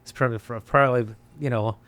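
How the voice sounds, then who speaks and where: A Spanish-speaking man from Colombia with a Colombian accent, chatting as a podcast guest in an animated way, laughing and restarting often. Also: mid-range voice, fast talker. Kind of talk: casual conversation.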